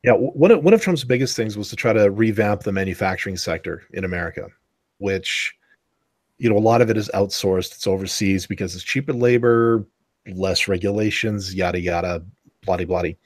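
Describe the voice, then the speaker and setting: Male podcast co-host, low-pitched, meandering casual delivery. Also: fairly quick, dry recording, studio quality. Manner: rambling, self-correcting. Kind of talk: casual chat.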